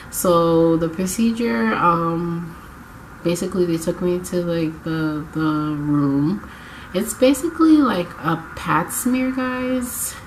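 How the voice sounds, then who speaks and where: A young woman with a low, congested voice chats to her camera as she gets over a cold. She rambles and corrects herself as she goes. A faint hiss of room noise sits behind her. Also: poor audio. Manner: chatty, rambling, self-correcting. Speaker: vlogger. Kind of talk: casual chat to camera. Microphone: camera mic.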